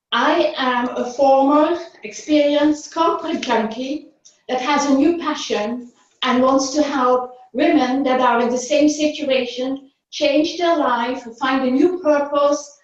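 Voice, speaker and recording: High-voiced woman in a very echoey room. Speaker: workshop participant. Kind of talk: practiced self-introduction. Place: indoor reverberant room. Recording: very echoey room, distant dull microphone.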